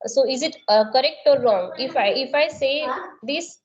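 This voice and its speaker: Indian woman tutor, Indian English accent, high voice, slow careful questions, muffled video-call audio. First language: Marathi. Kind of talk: conversation practice session.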